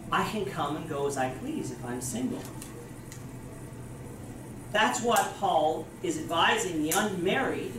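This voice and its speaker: Young male preacher, lively rising and falling delivery, captured from a distance. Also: high-pitched, background noise. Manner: gestures broadly while preaching, explanatory. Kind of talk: sermon. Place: church meeting room with projector screen. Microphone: far camera. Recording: roomy hall, distant mic.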